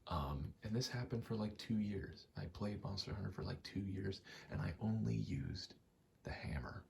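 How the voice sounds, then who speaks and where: Very low, gravelly, soft-spoken man. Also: slight background noise. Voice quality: soft-spoken, breathy, often dropping to a whisper. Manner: halting, meandering, casually profane. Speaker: gaming video creator. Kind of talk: rambling ASMR game commentary.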